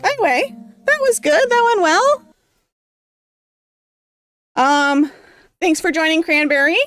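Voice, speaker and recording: A woman's voice: extremely high pitch, takes it slowly, a bit bright, faint music behind. A woman streamer who reads a visual novel's narration aloud and chats casually with viewers, with lots of fillers. Her voice is going from so much reading, and she coughs now and then. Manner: casual, rambling, heavy on fillers.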